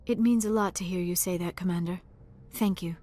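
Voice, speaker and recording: A woman's voice: fairly low pitch, steady tone, a little fast, dark tone, natural voice. A woman playing a shy, earnest alien scientist in a video game, speaking in a formal, precise way with a bookish awkwardness. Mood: earnest, sincere. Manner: formal, precise, without contractions.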